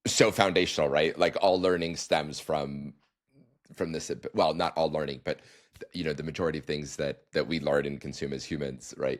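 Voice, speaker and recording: A man hosting a podcast interview, asking enthusiastic, halting questions with many restarts. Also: low voice. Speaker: podcast host. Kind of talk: casual interview conversation.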